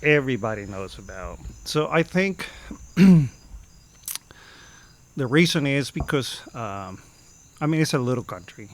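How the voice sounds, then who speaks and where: A man in his fifties from Costa Rica with a Costa Rican Spanish accent, telling stories as a podcast guest and often pausing to search for words. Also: medium pitch, animated, fairly bright tone. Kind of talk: casual storytelling.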